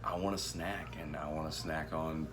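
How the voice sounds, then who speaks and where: A man's voice: very deep voice, moderate pace. A man in his thirties chatting casually and playfully as co-host, recorded on a phone in a home kitchen. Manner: playful, animated. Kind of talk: casual chat, comic storytelling. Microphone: phone at arm's length, behind host. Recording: clear background noise, home phone recording.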